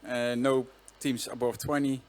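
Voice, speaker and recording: A man's voice: mid-range voice, little pitch movement, a little slow, a little mellow. A man who moderates the online audience, reporting poll results in a matter-of-fact way.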